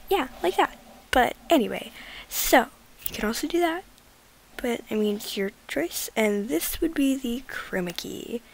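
A teenage girl with a raspy voice, rambling casually through an editing tutorial and slipping into whispers at times. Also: on the high side, lively intonation, a little slow. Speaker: gaming video creator.

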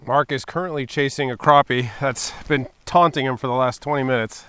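A man in his thirties chatting excitedly to viewers while ice fishing outdoors on a snowy lake, recorded on a camera mic. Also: medium pitch, brisk, clear, resonant tone, dry recording. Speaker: fishing vlogger. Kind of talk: casual vlog narration.

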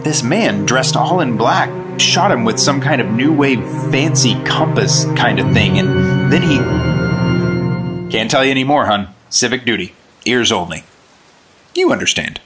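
An actor playing an earnest, naive young FBI agent, clean-cut and stiff, in 1950s radio drama style. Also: male voice, medium pitch, lively intonation, unhurried, over music, lightly acted. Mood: agitated.

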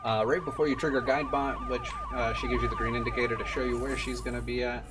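A man's voice: mid-range voice, flat delivery, quick pace, noisy background. A man in his twenties giving casual gameplay commentary as a streamer and speedrunner.

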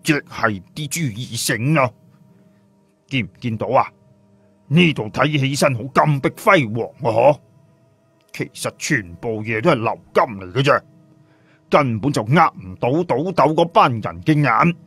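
Man voicing brash, husky, streetwise Fatty. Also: mid-range voice, lively intonation, a little slow, a bit bright, faint music behind, heavily acted. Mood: scornful explaining. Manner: mocking, slangy, blunt.

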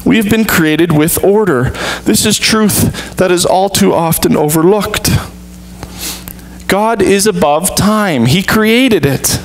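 A man in his thirties, a pastor, preaching an earnest sermon with measured, deliberate pauses from a church stage. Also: high-pitched, rough recording.

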